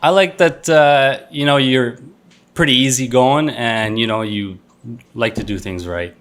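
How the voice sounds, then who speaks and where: A man's voice: medium pitch, measured pace, a bit bright. Laid-back roofer in his thirties. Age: 30s. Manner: laid-back, laughs easily, loose swearing. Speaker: roofer guest. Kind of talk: casual podcast chat.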